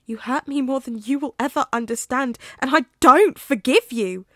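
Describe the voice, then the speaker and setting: A woman voicing a betrayed teenage boy who is a werewolf, shouting and swearing bitterly in a storybook reading. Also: a little high, lively intonation, very slow, breathy edge, no echo, studio quality, full character voice. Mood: cold.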